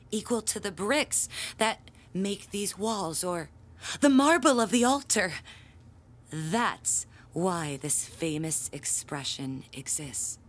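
Pompous, theatrical church bell ringer woman. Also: on the low side. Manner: grandiose, theatrical storytelling. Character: pompous, fiercely proud church handbell ringer woman telling family lore. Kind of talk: audio drama acting.

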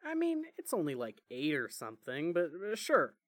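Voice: medium pitch, huge pitch swings, moderate pace, fairly bright tone, dry recording, studio quality. A narrator as a smirking, rambling young brother. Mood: casual, whiny. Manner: rambling, hesitant slang, melodramatic teasing.

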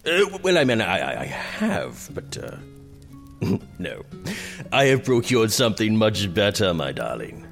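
A self-indulgent, tipsy prince who fancies himself king, with a creaky voice that swoops extravagantly. He is haughty, jovial and theatrical, as in a medieval radio play, with music underneath. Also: lowish voice, wildly swooping pitch, unhurried, exaggerated voice. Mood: fawning, flustered. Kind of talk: medieval audio drama acting.

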